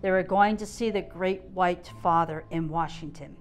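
A woman's voice: on the low side, normal speed, soft background music. An older woman, around her seventies, reading a written family memoir aloud indoors into a close mic. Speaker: guest reader. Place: indoor gallery room.

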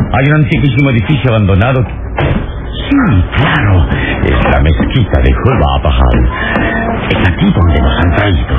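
An actor playing a captive adventure hero in a radio drama, brooding and analytical, speaking deliberately with dramatic pauses. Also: male voice, low-pitched. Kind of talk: radio drama acting, interior monologue. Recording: muffled phone-like old radio recording.

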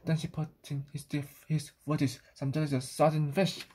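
Husky woman reading a children's picture book. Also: low-pitched, level tone, moderate pace, warm tone. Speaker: storybook reader.

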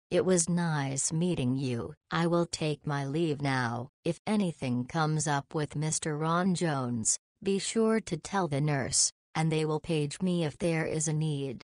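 A woman voicing a demure, soft-spoken doctor of twenty-seven in a visual novel, formal and polite. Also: low-pitched, takes it slowly, few pauses, no echo, clean studio sound. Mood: polite, reserved.

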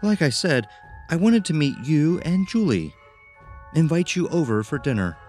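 An actor playing a small, eccentric, socially awkward man who speaks in a formal, halting, ingratiating way in a horror audio drama. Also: on the high side, very animated, a little mellow, soft background music. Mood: apologetic, pleading.

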